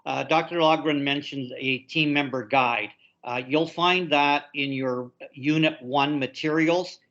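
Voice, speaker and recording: A man speaking as an instructor on a conference call, giving informal step-by-step advice and often doubling back on his words in a halting way. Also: on the high side, fairly even intonation, a bit bright. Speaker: university instructor. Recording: slightly dull conference-call audio.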